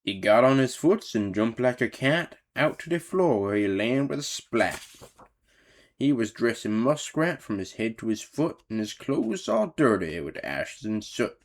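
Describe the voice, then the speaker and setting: A man's voice: mid-range voice, animated, a little slow, close to an everyday voice. A man playing a folksy old Cajun storyteller, narrating a dramatic Christmas verse in a Cajun accent. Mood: amused storytelling.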